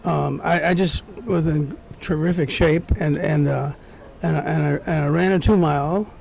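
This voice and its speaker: A man in his sixties speaks as an interview guest. His voice sits a little high for a man and is clearly creaky, with a lot of fry. He tells his personal recollections in a casual, conversational style. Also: steady tone, normal speed, crowd noise. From born in Mexico, grew up in the United States from early childhood. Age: 60s. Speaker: interview guest, former track runner.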